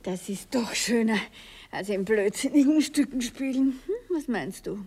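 An actress playing a vain, capricious stage diva in a 1960s radio play, teasing and condescending, often dropping to a breathy murmur. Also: female voice, fairly high pitch, lively intonation, a little slow, a little mellow, heavily acted. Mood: mocking, haughty. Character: capricious vain actress, teasing imperious seductress of her lover.

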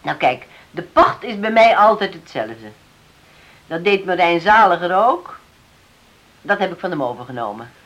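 A woman's voice: on the low side, very animated, moderate pace, fairly bright tone. A woman acting in a Dutch radio drama as a shrewd, self-assured farm owner with a businesslike manner. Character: shrewd, firm widowed farm owner driving a bargain.

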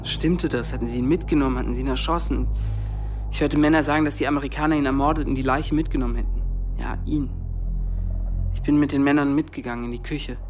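Actor as vivid Pakistani eyewitness over music. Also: male voice, mid-range voice, steady tone, fast, natural voice. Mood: stunned, agitated. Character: male Pakistani eyewitness recounting a night raid. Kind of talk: dramatised first-person narration in a radio play.

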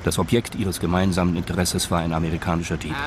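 A man's voice: low-pitched, little pitch movement, fast, crowd noise. A man reading scripted narration for a radio crime drama.

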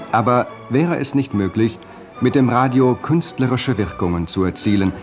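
Low, solemn actor as a radio director. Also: male voice, natural voice. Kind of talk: 1960s radio play acting.

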